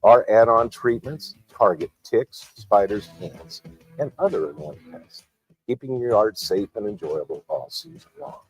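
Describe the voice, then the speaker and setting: A man announces a commercial with a husky, breathy voice. His lowish pitch swings widely as he sells, upbeat and punchy. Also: expressive, measured pace, soft background music, poor audio. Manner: upbeat salesy delivery. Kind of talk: scripted commercial read.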